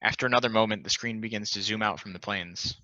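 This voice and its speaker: A man narrates a play's stage directions at a table read. His voice is fairly low and very bright and forceful. The recording is dry and somewhat lo-fi. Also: quick pace, no echo. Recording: dull, lo-fi close dry recording.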